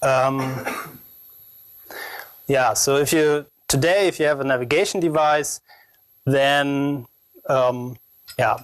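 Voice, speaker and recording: German-accented young man, halting presenter. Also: medium pitch, dry recording. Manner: halting, hesitant non-native phrasing. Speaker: conference speaker, PhD researcher. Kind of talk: technical conference presentation.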